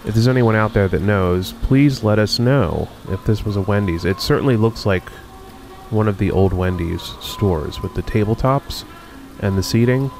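A man with a fairly low voice narrates a tour in a relaxed, wandering way, pausing often with ums and uhs. Faint music plays underneath him. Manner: unhurried, musing, many ums and uhs. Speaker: video host touring a closed-down mall. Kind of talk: casual walkthrough narration.

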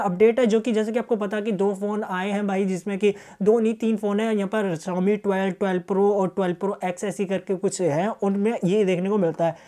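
Casting: young male tech reviewer, rapid delivery, softened mellow tone, chummy casual walkthrough style. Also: high-pitched. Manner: colloquial, addresses viewers chummily as brothers. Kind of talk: casual phone feature walkthrough.

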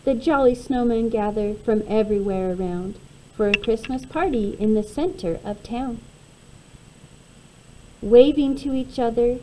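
A woman reading a rhyming children's picture book aloud in an expressive, measured way. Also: medium pitch, slight background noise, low-quality recording. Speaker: storybook reader.

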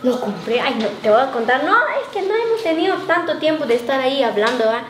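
A woman's voice: high-pitched, big pitch swings, fast, fairly bright tone, roomy sound. A young woman playing a girlfriend who brags about the money her boyfriend sends, in a casual web drama. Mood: boastful. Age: young adult. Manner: chatty, boastful. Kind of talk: amateur web drama acting.

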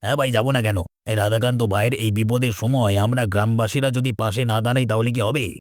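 A man's voice: mid-range voice, steady tone, fast talker, dry recording, studio quality, lightly acted. A man playing a practical, supportive villager in a cartoon, urging and matter-of-fact. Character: practical, supportive male villager rallying his neighbours. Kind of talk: cartoon dialogue acting.

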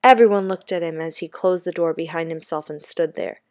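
A woman's voice: low voice, fairly quick, a little mellow, no room sound, clean studio sound. A woman reading a children's book aloud expressively, like a teacher with a class text.

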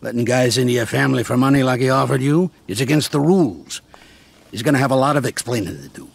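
An actor playing a shrewd, fatherly older American mafia consigliere with a gravelly, streetwise delivery in a video game. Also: medium pitch, animated, fast talker. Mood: scornful, assured. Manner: streetwise, coarse, patiently explaining. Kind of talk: game cutscene acting.

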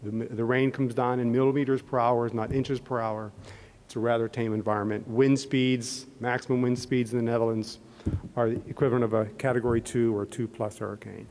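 A middle-aged man with a Pittsburgh accent speaks to an audience from a podium, his pitch sitting mid-range for a man. He talks conversationally, with "um"s and restarts. The sound carries the long reverb of a large hall. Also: flat delivery, fairly quick, a little mellow. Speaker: embassy economist and panel moderator. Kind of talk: public talk at a podium. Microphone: podium microphone.

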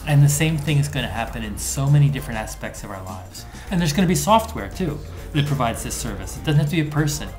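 A man in his fifties, an author being interviewed, explains his ideas in an animated, emphatic way. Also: mid-range voice, quick pace, hardly any pauses, soft background music. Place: indoors in an office room.

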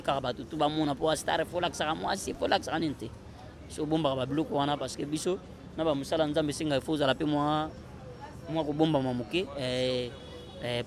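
A man in his thirties with a Congolese accent, talking casually as an interview guest. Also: on the high side. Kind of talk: casual interview talk, switching languages. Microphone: handheld interview microphone. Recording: noisy outdoor handheld-mic recording.

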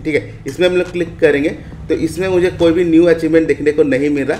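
A man's voice: fairly high pitch, darkish tone. An Indian man hosting a gaming video, giving a casual walkthrough commentary with repeated stock phrases. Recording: echoey room with faint background noise.

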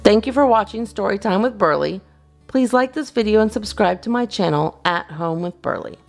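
A woman reading a children's storybook aloud as a storyteller, in an expressive, animated style. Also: medium pitch, normal speed, creaky, soft background music. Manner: expressive, acts out dialogue. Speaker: storyteller, channel host.

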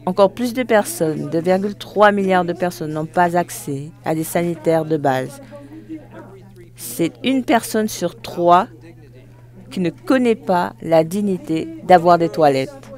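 Live interpreter doing a formal speech, mid pitched voice, goes phrase by phrase n corrects themself. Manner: halting, phrase by phrase with self-corrections.

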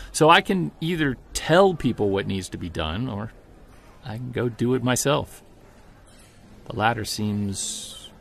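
An actor playing a driven, weary Bigfoot investigator in an audio drama, earnest and conversational. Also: male voice, on the low side, gravelly, a bit bright, exaggerated voice. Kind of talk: audio drama, first-person narration and dialogue.

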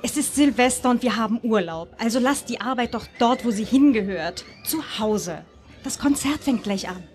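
A woman's voice: on the high side, expressive, normal speed, a little mellow, close to an everyday voice. A woman playing a chatty, polite Soviet wife on holiday in a live radio play. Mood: sociable. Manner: polite, sociable.

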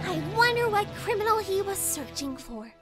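A woman's voice: very high voice, very animated, normal speed. A woman voicing a sweet, naive young rabbit princess in a cartoon dub, speaking in a cheery, courteous way. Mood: polite, cheerful. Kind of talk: cartoon comic dub acting. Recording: music behind the voice.

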